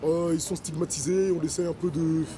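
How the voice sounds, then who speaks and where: A man's voice: on the high side, level tone, brisk. A man from Congo answering interview questions casually and colloquially, outdoors in a city park. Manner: colloquial, informal. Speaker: sports trainer and community activist. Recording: outdoor, very noisy urban crowd.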